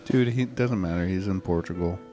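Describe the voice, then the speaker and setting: A man co-hosting a podcast, chatting casually and cutting in with quick, excitable remarks. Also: deep voice, faint music behind. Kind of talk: casual chat.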